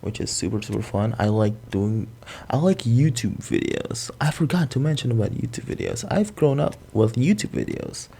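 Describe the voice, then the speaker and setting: A young man from Indonesia with an American accent, whose first language is Indonesian, talking off the cuff to the camera in a fast, rambling, swearing way. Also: medium pitch, very animated, creaky, dark tone, a little hiss or hum. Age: young adult. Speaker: vlogger. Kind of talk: improvised talk to camera.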